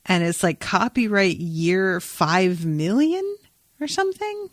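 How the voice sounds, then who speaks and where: A woman podcast host with a slightly low voice chats in a casual, informal way. She is opinionated and playful in how she puts things. The recording is close and dry, with no room sound. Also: very animated, takes it slowly, no room sound. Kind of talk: casual chatty podcast discussion.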